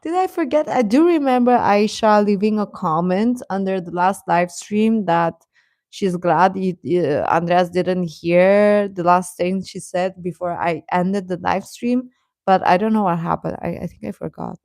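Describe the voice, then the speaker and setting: A woman's voice: fairly low pitch, a little slow, a little mellow, no echo, studio quality. A woman hosting a livestream, chatting casually and reacting to viewers, often repeating her phrases. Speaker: livestream host.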